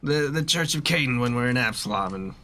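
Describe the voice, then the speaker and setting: A man with a bright, forceful voice and lively pitch roleplays casually at a gaming table, slightly hesitant in his phrasing. Faint noise sits behind him. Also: brisk. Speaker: tabletop game player. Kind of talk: casual in-character roleplay chat.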